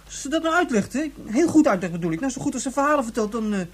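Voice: medium pitch, expressive, racing, slightly rough. An actor in a radio drama playing a young boy, insistent and questioning, often stammering. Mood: pleading. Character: David, young boy pleading about his departing mother.

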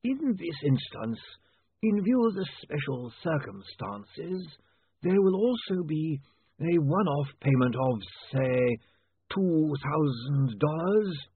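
Man voicing a slow, stiff undead lawyer, menacing. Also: fairly high pitch, big pitch swings, slightly rough, dry recording, voice pushed up, heavily acted. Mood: stiff menace. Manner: slow, drawn-out, formal delivery. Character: grey zombie lawyer, stiff, formal, quietly menacing male. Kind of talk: audiobook character voice. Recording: band-limited, phone-like sound.

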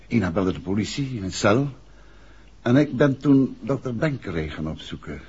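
A man's voice: fairly low pitch, animated, normal speed, darkish tone, breathy edge. An actor playing a composed, courteous male amateur sleuth and affectionate husband in a 1960s Dutch radio drama. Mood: measured. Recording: dull, muffled 1960s radio recording.

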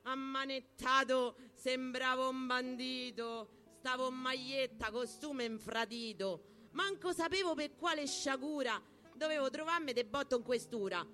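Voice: sits high, measured pace, sharp tone. A performer with a Roman accent giving a theatrical, emphatic spoken-word recital of rhymed verse over guitar music. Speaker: poetry performer.

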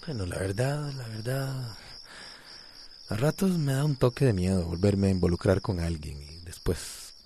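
A comfortable but hesitant middle-aged Costa Rican bachelor in a radio drama. His voice sits fairly low, over faint background noise. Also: animated, normal speed, mellow. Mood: wry. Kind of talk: radio soap opera acting.

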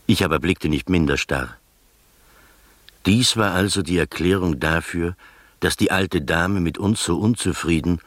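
A man acting as the sober first-person narrator of a radio play, telling the story in measured literary prose. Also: a bass voice, no echo. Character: male first-person storyteller, sober, quietly astonished companion.

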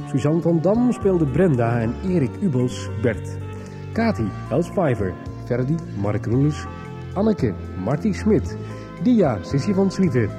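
Guy doing radio end credits, scripted announcer read, very varied pitch, music under it. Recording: radio broadcast over clear music. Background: theme music.

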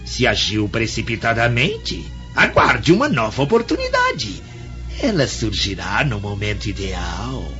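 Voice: medium pitch, wildly swooping pitch, a little slow, sharp tone, exaggerated voice. An actor playing an old soothsayer in a radio drama, solemn and sententious as he counsels. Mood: sage, reassuring. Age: older. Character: old male soothsayer, calm, cryptic adviser. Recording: dull echoey radio drama, music behind.

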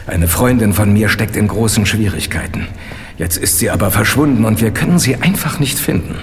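A man's voice: lowish voice, a little fast, lightly acted. A man playing a worried, earnest and friendly senator and former intelligence official in a German audio drama. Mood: worried, explaining. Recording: long hall reverb, music underneath. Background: clear background music.

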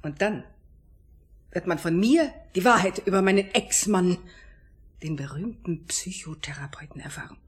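An actress playing a disillusioned, sardonic wife of a psychotherapist, with cutting delivery in a German radio play. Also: female voice, lowish voice, lively intonation, normal speed, husky. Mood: coldly resolved.

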